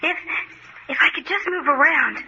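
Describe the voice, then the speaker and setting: A woman's voice: high-pitched, normal speed, background noise, natural voice. An actress playing a frightened young woman held captive in an old-time radio drama, pleading in a breathless, melodramatic way. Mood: shaken. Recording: muffled vintage radio recording.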